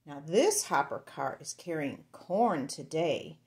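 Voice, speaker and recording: Slow, animated woman reading to young children. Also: low voice. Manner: sing-song, playful, addresses small children directly. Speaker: children's storyteller. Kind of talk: read-aloud picture book for young children.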